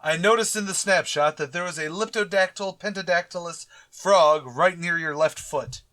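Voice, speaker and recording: A man acts an innocently oblivious science teacher in a vintage radio comedy. His voice sits a little high and stays earnest. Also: normal speed, sharp tone. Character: earnest, oblivious male biology teacher Mr. Boynton. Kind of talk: 1940s radio sitcom acting.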